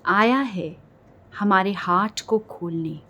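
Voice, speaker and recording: An Indian woman leading a guided meditation in a gentle, unhurried manner, leaving long pauses between phrases. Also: medium pitch. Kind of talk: guided meditation in a slow, soothing delivery. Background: music.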